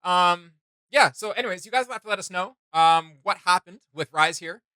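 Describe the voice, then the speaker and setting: A man's voice: high-pitched, pushed and bright, clear, resonant tone, no echo. A man giving casual, opinionated reaction commentary as a host, emphatic and informal, often circling back over his words. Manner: emphatic, halting and repetitive, informal.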